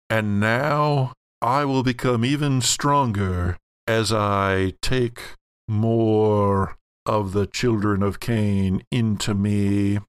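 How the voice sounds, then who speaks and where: Menacing otherworldly villain, played by a man: very slow, smooth, resonant, grandiose delivery for a gothic tabletop game. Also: fairly low pitch, dry recording, studio quality. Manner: grandiose, deliberate, drawn-out phrasing. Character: menacing male villain swollen with supernatural power.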